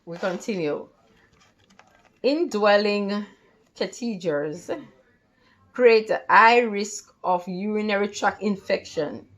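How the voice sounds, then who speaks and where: A woman tutor reading study material aloud in a careful, halting way, with stumbles and repeated words. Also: medium pitch, a bit bright, dull audio. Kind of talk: read-along lesson from a textbook.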